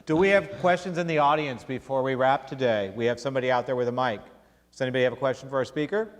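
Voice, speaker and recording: Brisk joking male moderator. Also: mid-range voice. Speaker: class host, moderator. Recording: long hall reverb.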